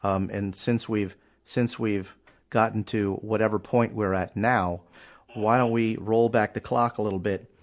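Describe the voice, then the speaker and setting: A male podcast host with a fairly low voice. He asks easygoing questions in a relaxed interview and often breaks into laughter. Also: unhurried. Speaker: podcast host, drum teacher.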